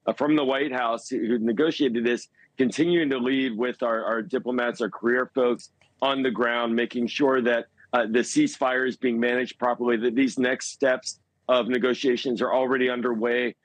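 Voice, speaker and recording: A man giving foreign policy analysis as a news interview guest, speaking in a measured, halting way and stressing key words. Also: mid-range voice, fairly even intonation, no room sound.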